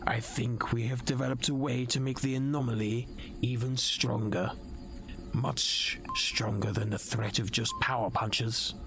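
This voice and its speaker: A man playing a scheming, condescending nobleman in an audio drama, gravelly and whispery, with patronizing, theatrical delivery. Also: mid-range voice, fairly even intonation, measured pace, over music, natural voice. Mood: silky menace. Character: scheming, condescending nobleman, silky villain plotting a coup.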